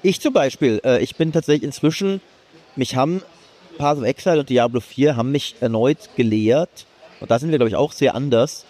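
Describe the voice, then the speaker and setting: A man hosting a casual gaming talk, rambling with many restarts and getting animated. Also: mid-range voice, brisk. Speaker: podcast host. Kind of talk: casual chat about games.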